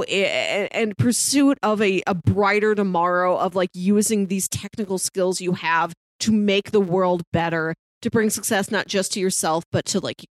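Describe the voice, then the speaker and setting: A woman's voice: medium pitch, no echo. A woman co-hosting a podcast, casually recommending things in an earnest, enthusiastic way with emphatic lists. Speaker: podcast co-host reviewing shows.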